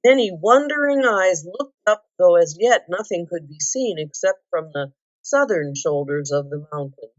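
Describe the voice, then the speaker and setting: A woman reading a story aloud with measured, deliberate, dramatic phrasing. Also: lowish voice, animated. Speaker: volunteer storybook reader. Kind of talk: scripted story narration. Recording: echoey room, slightly dull sound.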